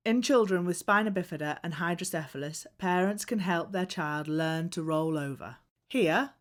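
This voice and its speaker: A woman with a slightly low voice narrates a training film. She reads from a script in a measured, instructional manner, phrasing each point clearly.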